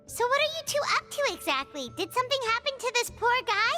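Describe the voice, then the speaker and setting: Very high, squeaky little fairy companion. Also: female voice, quick pace, over loud music. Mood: curious. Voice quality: squeaky, childlike. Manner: expressive, exclamatory. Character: small childlike floating companion fairy, chirpy, inquisitive girl. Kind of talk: animated video game voice acting.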